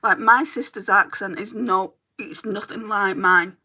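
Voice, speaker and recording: A woman's voice: mid-range voice, steady tone, unhurried, pushed and bright. Chatty Lancashire woman doing her makeup. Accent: Lancashire English. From Lancashire, England. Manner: chatty, answers chat comments while doing makeup. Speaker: beauty streamer. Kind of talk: casual makeup chat with viewers.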